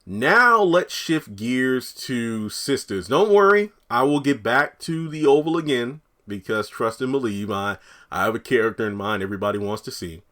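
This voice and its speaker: A man with a raspy, just-woken voice rambling casually through his TV commentary and thinking aloud. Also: medium pitch, animated, moderate pace, sharp tone. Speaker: TV commentary YouTuber.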